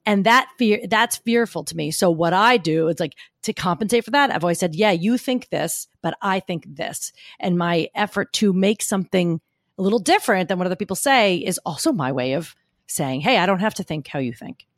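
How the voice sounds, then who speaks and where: Gruff, gritty woman, lowish, emphatic storyteller; dry close recording. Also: a little fast, no room sound. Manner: emphatic, argumentative, animated storytelling. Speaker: guest speaker coach. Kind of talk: podcast interview.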